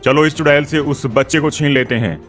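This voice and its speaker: A man's voice: fairly high pitch, fairly quick, a bit bright, soft background music, close to an everyday voice. A man voicing a greedy, scheming sorcerer in a cartoon, commanding and eager. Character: greedy male occult sorcerer rallying villagers. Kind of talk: Hindi cartoon character voice.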